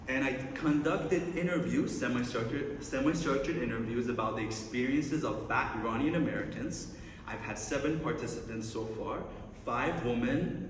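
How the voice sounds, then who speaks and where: A man's voice: medium pitch, slight background noise. A young man from Iran gives a conference presentation, upbeat with playful asides between slide points. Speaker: student researcher presenting. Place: large lecture hall. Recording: echoey hall, mic at a distance.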